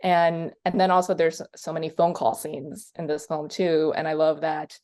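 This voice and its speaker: A woman's voice: low voice, flat delivery, moderate pace, darkish tone. A woman hosting an interview, asking long conversational questions. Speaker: interview host.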